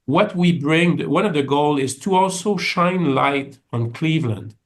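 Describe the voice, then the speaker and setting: A man's voice: a little high, lively intonation, measured pace. A man with a French Canadian accent, answering interview questions in a thoughtful, conversational way with frequent restarts. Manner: thoughtful, many restarts and fillers. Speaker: orchestra executive, guest.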